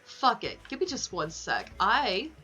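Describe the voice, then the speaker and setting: A woman's voice: medium pitch, big pitch swings, unhurried, pushed and bright, breathy edge. A woman chatting casually on a gaming livestream, playfully swearing and talking to the game characters as she plays. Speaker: livestreamer playing a video game.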